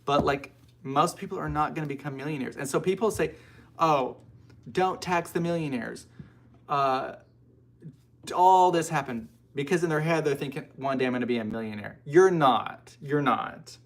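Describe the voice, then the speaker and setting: Gravelly-voiced American man chatting casually. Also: on the high side, normal speed. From United States. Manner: chatty, informal, rambling with frequent asides. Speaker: livestream host. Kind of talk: casual live chat, answering and reading out viewer comments.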